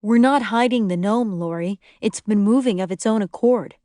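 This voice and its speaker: A woman voicing a good-humored young husband in an audiobook, in a deadpan, good-natured way. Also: medium pitch, normal speed, dry recording, studio quality, lightly acted. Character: good-humored, supportive young husband with straight-faced wit. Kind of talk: audiobook character dialogue.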